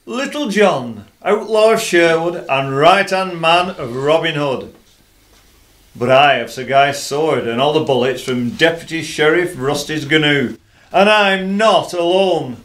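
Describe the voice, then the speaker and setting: Guy doing a jokey outlaw sidekick, highish voice, theatrical amateur radio comedy. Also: a little slow, a bit bright. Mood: bold. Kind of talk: amateur comic radio play acting.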